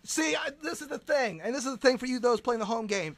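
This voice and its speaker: A man hosting a comedic radio show, excitable and stammering through restarts, now and then shouting. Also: extremely high pitch, fast talker, dry recording.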